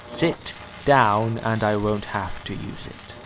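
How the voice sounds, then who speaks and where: An actor playing a sinister doctor in an audio drama, formal and unctuous, with coolly polite menace. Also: male voice, fairly low pitch, slow, background noise. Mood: firm, threatening. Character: sinister, coolly polite male doctor who experiments on people.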